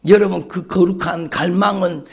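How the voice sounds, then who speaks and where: A man's voice: high voice, fairly even intonation, normal speed, gravelly. A male pastor preaching an emphatic, repetitive sermon at a dawn church service, calling out often to the congregation. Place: church sanctuary.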